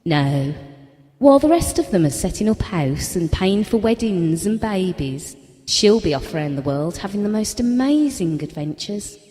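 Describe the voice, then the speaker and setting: A woman's voice: mid-range voice, sing-song, moderate pace, warm tone, close to an everyday voice. A woman playing a prickly, self-deprecating single woman in her forties in an audio drama. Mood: wry, playful. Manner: wry, guarded. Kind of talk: naturalistic audio drama acting. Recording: long reverb on the voice.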